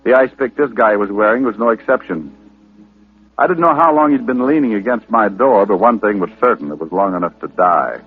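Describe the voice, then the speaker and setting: An actor playing a wisecracking, hard-boiled private detective with a dry, sardonic delivery in old radio style. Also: male voice, fairly low pitch, lively intonation, brisk, breathy edge. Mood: wry narration. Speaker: lead detective and narrator. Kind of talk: 1940s-50s radio detective acting. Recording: old radio broadcast, very narrow muffled band.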